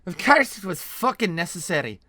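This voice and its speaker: Man as a menacing female mercenary, scornful, sharp-tongued, put-on accent, raised forceful delivery, fantasy audiobook. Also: high-pitched, big pitch swings, brisk, no echo, voice pushed up, heavily acted. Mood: mocking, scornful. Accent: put-on foreign fantasy accent. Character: ruthless, mocking masked mercenary woman, sharp-tongued and menacing.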